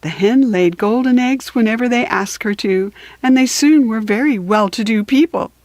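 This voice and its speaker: A woman reading a children's story aloud for beginning readers, measured and deliberate, with storytelling emphasis. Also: on the high side, a little mellow, no echo. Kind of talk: slow, careful read-aloud for beginning readers.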